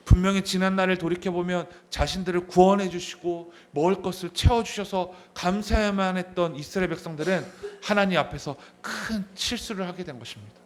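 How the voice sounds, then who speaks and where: A pastor in his thirties preaches from the pulpit in a voice that sits a little high for a man. He often asks the people in front of him questions and says his main points more than once. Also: brisk, hardly any pauses. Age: 30s. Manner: asks the congregation questions, repeats key phrases. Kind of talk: sermon. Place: church sanctuary.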